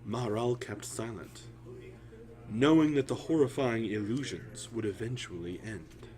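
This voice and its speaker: A man telling a fantasy story in a solemn, theatrical way, with deliberate phrasing and dramatic pauses. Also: mid-range voice, a little mellow.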